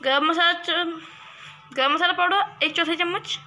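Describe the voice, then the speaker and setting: A woman hosting a cooking channel, explaining a recipe step by step in a steady voice-over. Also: very high-pitched, a little slow, faint music behind.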